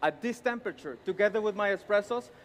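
Man, Honduran Spanish accent, high-pitched voice, formal rehearsed presentation, deliberate delivery, headset mic, noisy venue behind. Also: animated. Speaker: competition barista. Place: large indoor competition arena with empty stands. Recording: echoey hall with clear background noise. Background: venue ambience and noise.

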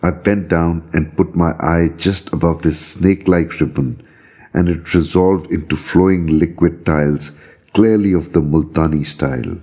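Very deep, husky, mellow male narrator. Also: steady tone, a little slow. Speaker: storyteller reading own account. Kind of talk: scripted narration of a visionary journey.

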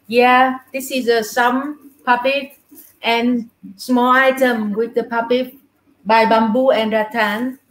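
A woman's voice: fairly high pitch, little pitch movement, takes it slowly, roomy sound, background noise. A middle-aged woman with a Vietnamese accent, a guest puppeteer explaining her craft in simple, broken English from a room over a video call. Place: small indoor puppet room. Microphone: webcam at a little distance.

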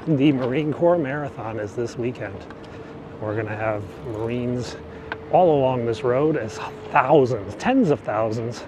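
A man casually narrating a bike ride through the city, rambling and correcting himself as he goes, filmed outdoors on an action camera. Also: medium pitch. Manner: chatty, rambling, self-correcting. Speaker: vlogger. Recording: outdoor street and traffic noise. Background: traffic and city ambience.

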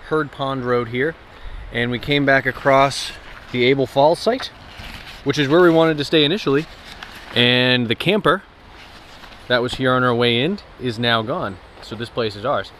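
A man in his thirties talks casually to his camera as he narrates an outdoor trip. His pitch is average. The action-camera recording carries light ambient noise from the outdoors. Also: normal speed, fairly bright tone. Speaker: vlogger, hike narrator. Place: outdoors in woods, on trails and riverbank. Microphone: action camera at arm's length.

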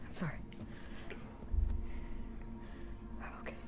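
A woman's voice: racing, over loud music, natural voice. A young woman acting an anguished, determined survivor in a sci-fi horror video game. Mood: grieving. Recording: loud game soundtrack behind.

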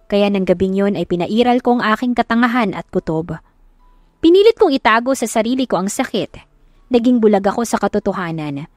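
A woman's voice: medium pitch, no echo, studio quality. A Filipino woman narrating a first-person romance story dramatically, as in an audiobook. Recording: music behind the voice.

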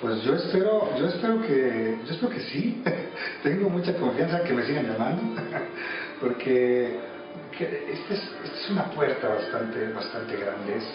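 A man's voice: mid-range voice, lively intonation. Mexican man in a very echoey room. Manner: halting, repeats words while searching. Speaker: interviewee, radio drama actor. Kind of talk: reflective interview answers. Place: small cluttered office. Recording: echoey room, distant mic, muffled, music behind.

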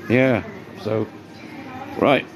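A man chatting casually as he vlogs his day as a bar worker, rambling and greeting people as he goes, his voice going hoarse. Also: fairly low pitch, steady tone, extremely slow, music in the background, poor audio. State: voice getting hoarse. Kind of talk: casual walk-and-talk vlog. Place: outdoors on streets by a seafront. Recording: street noise behind the voice.

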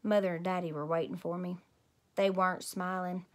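A woman's voice: fairly low pitch, flat delivery, normal speed. A woman in her thirties reading a storybook aloud expressively as a host for young children. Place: small home room indoors.

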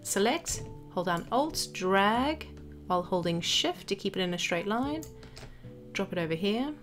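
A woman narrating a step-by-step software tutorial as an instructor, explaining each action as she goes. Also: medium pitch, expressive, measured pace. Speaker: graphic design tutorial instructor. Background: background music.

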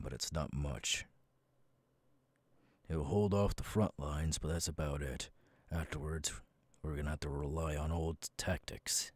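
A man voicing a stern, weary, guarded military commander in an ASMR audio roleplay, husky and brooding. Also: extremely low pitch, no echo, full character voice. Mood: grim, subdued. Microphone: close, dry microphone.

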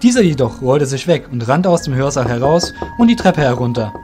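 A man's voice: medium pitch, big pitch swings, a little fast, mellow. A male narrator tells a fantasy story in scripted audio drama style. Faint music plays behind his voice.

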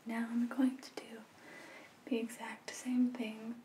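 A woman in her twenties performs a whispered ASMR roleplay as a gentle medical examiner. Her delivery is very slow and soothing, with a mellow, soft tone. The small room adds a noticeable roominess to the sound. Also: fairly high pitch, level tone, reverberant, recorded from a distance, a little hiss or hum. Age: 20s. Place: small plain indoor room.

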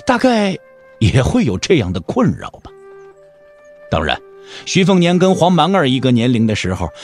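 A man narrating an audio drama with dramatic, expressive scripted storytelling. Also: mid-range voice.

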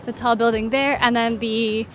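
A woman's voice: on the high side, little pitch movement, moderate pace, fairly bright tone, faint music behind. A woman hosting a travel vlog, narrating a walking tour in a casual, informative, conversational way, pointing things out in an orderly fashion. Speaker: travel guide and vlog host.

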